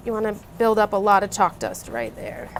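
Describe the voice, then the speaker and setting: A woman's voice: medium pitch, sing-song, a little fast, creaky. A woman co-hosting a craft show, demonstrating steps casually and chatting around a table indoors. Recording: noisy room with table sounds.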